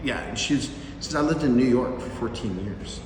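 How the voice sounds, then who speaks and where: A man's voice: medium pitch, a little fast, slight background noise. A man, a mission volunteer, telling a story in a loose, rambling way with many fillers. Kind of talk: casual group recap. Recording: echoey hall from far off.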